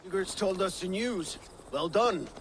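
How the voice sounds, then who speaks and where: Game supporting role: dry, terse Viking warrior, moderately high voice, light background noise. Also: male voice, lively intonation, a little slow, low-quality recording. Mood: matter-of-fact. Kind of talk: video game cutscene acting.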